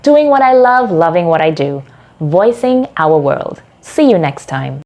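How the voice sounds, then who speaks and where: A woman narrating a short story from a script in a measured, dramatic storytelling delivery. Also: on the low side, very animated, mellow.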